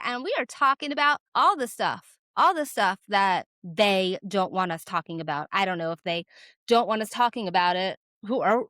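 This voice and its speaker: A woman in her twenties hosting her own vlog, talking casually and rambling with lots of filler words and sudden lifts in pitch for emphasis. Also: dry recording, studio quality. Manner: animated, jumps up in pitch for emphasis. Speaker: vlogger host. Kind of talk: casual talk to camera.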